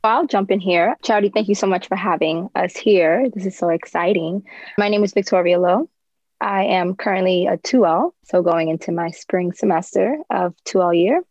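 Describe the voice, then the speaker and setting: A woman's voice: on the low side, moderate pace, a little mellow, dry recording. A woman in her twenties from New York, a law student guest who talks in an eager, chatty way. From New York, USA. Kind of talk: casual group conversation. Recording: dry, dull lo-fi sound.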